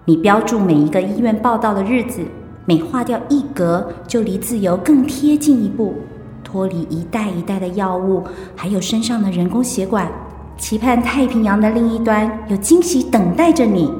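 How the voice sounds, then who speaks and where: An actress with a Taiwanese accent playing an upbeat, teasing wife in recovery from illness in a radio drama, playful and reassuring. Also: female voice, mid-range voice, lively intonation, moderate pace, mellow, soft background music, natural voice. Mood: hopeful, self-encouraging. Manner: playful, reassuring, chiding her husband. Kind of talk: Mandarin radio drama acting.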